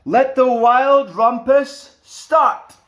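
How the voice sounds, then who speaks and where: Man playing a loud, defiant little boy. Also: extremely high pitch, very slow, voice pushed up, exaggerated voice. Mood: commanding. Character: bold wild little boy, defiant and commanding.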